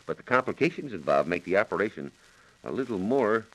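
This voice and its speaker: A man's voice: lowish voice, big pitch swings, fairly quick, fairly bright tone, poor audio. A man explaining science on a 1940s radio program in a precise, methodical, formally worded manner, on a vintage broadcast recording.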